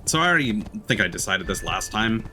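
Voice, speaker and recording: A man's voice: on the low side, sing-song, fast, bright, forceful tone. A man giving casual let's-play commentary as a game streamer, thinking aloud in a hesitant way. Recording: game music behind the voice. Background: video game music.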